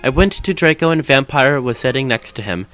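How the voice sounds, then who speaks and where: A man's voice: mid-range voice, expressive, a little fast, fairly bright tone. A man reading fan fiction aloud as a dramatic narration, with music behind him.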